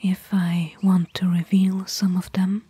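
A woman playing a gentle, bookish desert explorer and caring companion in a soft, whispered ASMR roleplay. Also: on the low side, flat delivery, no echo. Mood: quietly earnest. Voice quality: breathy, whispered. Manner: slow, gentle, pausing between short phrases.

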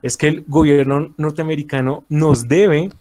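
A man's voice: on the high side, fairly even intonation, measured pace, mellow. A man with a Colombian accent in an informal political debate on a voice chat, defensive and often repeating himself. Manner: defensive, repetitive. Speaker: debate guest. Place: online group voice chat.

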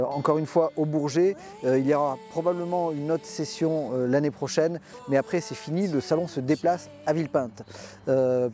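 An older man hosts a camper van vlog outdoors, his fairly high voice picked up by a lapel mic. He talks chattily with many pauses, and a soft music bed plays under him. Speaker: camper van channel host. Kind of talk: casual vlog presenting and interviewing. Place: outdoors by a motorhome at a trade show car park.

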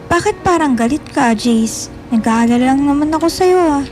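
A woman's voice: high voice, expressive, moderate pace, darkish tone. A woman playing a possessive, scheming, insistent teenage schoolgirl in a radio drama, lovesick for her friend. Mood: clingy, sulky. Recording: music bed behind the voice.

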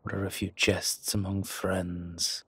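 A man playing a suave, scheming dragon mob boss in an intimate audio roleplay, with measured, husky, breathy delivery and deliberate pauses. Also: deep voice, level tone, fairly bright tone, no echo, exaggerated voice. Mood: sly, persuasive. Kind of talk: slow, intimate scripted roleplay monologue.

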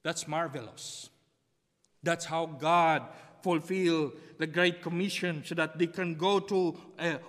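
Filipino pastor man preaching in an empty church, high-ish voice, long echo off the walls. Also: pushed and bright. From Philippines. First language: Tagalog. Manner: preaching with wide hand gestures, repeating key phrases. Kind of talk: sermon. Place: empty church sanctuary behind a pulpit. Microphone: pulpit mic on a stand, far camera. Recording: long reverb of a church hall.

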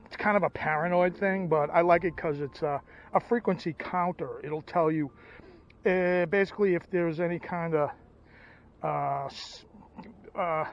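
A man's voice: a little high, measured pace, dull audio. A middle-aged man giving casual, folksy vlog commentary outdoors on a handheld camera, gushing with enthusiasm. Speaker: outdoors and ham radio vlogger. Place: outdoors, by a stream and at a parked car.

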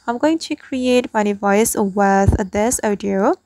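A woman's voice: medium pitch, takes it slowly, a little mellow, no echo. A woman giving a scripted, step-by-step app tutorial as the host, recorded as a voice-over.

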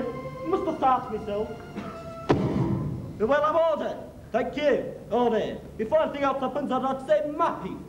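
Rich: A man doing a blustering older union steward, indignant and quick to take offence. His voice sits very high, and the sound is dull and echoey with music behind. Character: gruff older male trade union man.

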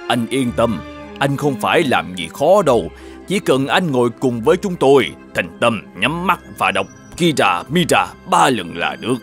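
A man voicing a mysterious old Taoist sage in an animated fairy tale, courteous and formal in manner. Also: medium pitch, moderate pace. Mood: courteous, persuasive. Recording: music behind the voice.

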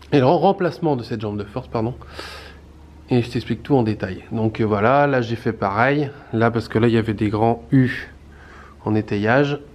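A man in his thirties filming a casual walkthrough of his renovation work in a stone basement, explaining as he goes with many fillers and restarts. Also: medium pitch. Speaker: renovation vlogger. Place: stone-walled basement under renovation, indoors. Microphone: handheld camera, close to face.

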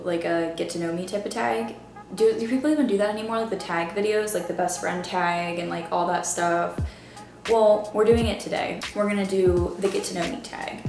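A woman from the Baltimore area chats loosely to camera, her talk sprinkled with "like" and half-finished thoughts. Her voice sits in a mid range and bounces around an echoey room. Music plays clearly behind her. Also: mid-range voice. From Baltimore area, Maryland. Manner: rambling, filler-heavy "like" talk. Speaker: vlogger. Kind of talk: casual chat to camera. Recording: roomy echo with music behind.

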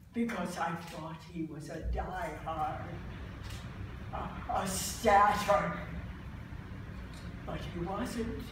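A woman performing a grief-stricken mother in an anguished, halting theatrical stage monologue. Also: medium pitch. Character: grief-stricken Irish mother mourning her son. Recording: echoey theatre, distant mic, faint noise.

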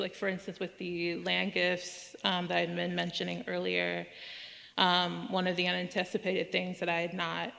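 A woman in her thirties speaks at a podium, answering questions in a measured, hedging way full of restarts and fillers. Her voice sits low with a gravelly fry and little melody. The sound rings out in a large hall. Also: a bit bright. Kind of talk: academic Q&A, thoughtful and hedging. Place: large museum auditorium, at a podium. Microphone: podium microphone. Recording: reverberant hall.

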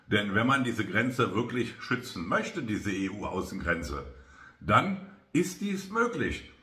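A man in his fifties speaks as a political commentator presenting to camera. His voice sits slightly low but has a bright, hard edge. He delivers measured, broken phrases, with clear room echo around him. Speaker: politician hosting a weekly video review. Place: small bare-walled room, indoors. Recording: roomy indoor echo.

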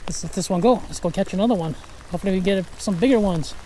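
A man's voice: high voice, fast talker, mellow, a little hiss or hum. A man hosting a casual fishing vlog from a small boat on a river, easygoing and often laughing, talking with fillers as he goes. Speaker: fishing channel host.

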